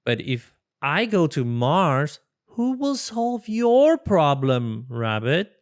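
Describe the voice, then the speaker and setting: Reader acting as a male storybook porcupine, cheerful, cocky, theatrical, lively pitch swings, unhurried. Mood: confident, upbeat. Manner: breezy, theatrical, self-assured delivery. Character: cheerful, overconfident, silly porcupine problem solver, male.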